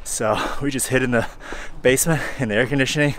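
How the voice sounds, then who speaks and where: A relaxed man in his forties talks into a camera he holds at arm's length while he hikes a forest trail. He is sweaty in the heat and rambles easily, with plenty of fillers. His pitch sits mid-range, with faint outdoor noise behind him. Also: fast talker. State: sweaty and hot while hiking. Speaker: hiking vlogger. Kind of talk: casual vlog talk to camera. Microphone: handheld camera held at arm's length.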